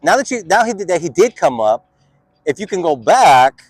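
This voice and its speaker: A man's voice: high voice, very animated, moderate pace, breathy edge, no echo, soft background music. A man hosting a casual interview, asking friendly questions with frequent restarts. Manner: friendly, hesitant, repeats words while framing questions. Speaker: student interviewer and show host.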